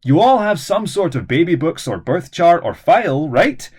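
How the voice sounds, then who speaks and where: A man plays an earnest young fellow in a children's spy story. The voice is lifted and husky. He explains things in an emphatic, know-it-all manner. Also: on the high side, sing-song, normal speed, darkish tone, voice pushed up. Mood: explaining.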